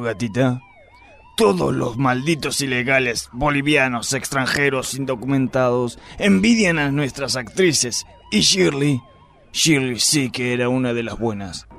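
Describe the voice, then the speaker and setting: Blustering, crude police inspector, played by a man, gravelly and pompous, in a radio play. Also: mid-range voice, a bit bright. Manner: pompous, lecherous, theatrical.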